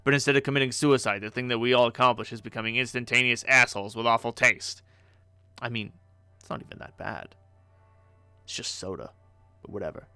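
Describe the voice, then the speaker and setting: A man's voice: mid-range voice, pushed and bright, clean tone, dry recording. A man voicing a grumpy, bossy teenage male alien troll who rants and swears in exasperation.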